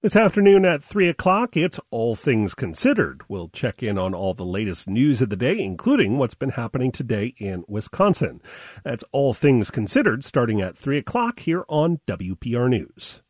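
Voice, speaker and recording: A man's voice: medium pitch, big pitch swings, normal speed, a little mellow, husky, no room sound, studio quality. A man reading a short scripted program promo energetically. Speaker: station announcer.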